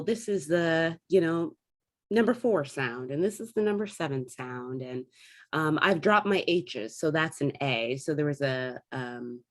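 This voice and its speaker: A woman chatting casually as an interview guest, explaining things in a talkative way and thinking aloud as she goes. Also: low voice. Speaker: guest, theatre artist and classical actor.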